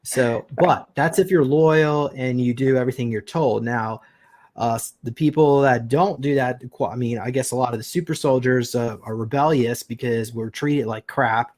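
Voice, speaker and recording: A man's voice: medium pitch, moderate pace, darkish tone. A man conducting a casual, conversational interview.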